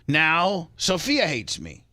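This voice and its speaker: A man hosts a radio show with loud, crude banter. His voice sits a little high and sounds bright and forceful, and the studio sound is dry and close. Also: huge pitch swings, takes it slowly, no room sound. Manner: brash, joking, crude.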